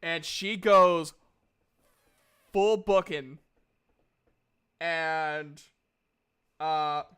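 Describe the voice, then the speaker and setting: A woman improvising casual narration as a tabletop game master, haltingly restarting her descriptions. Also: deep voice, a bit bright, smooth.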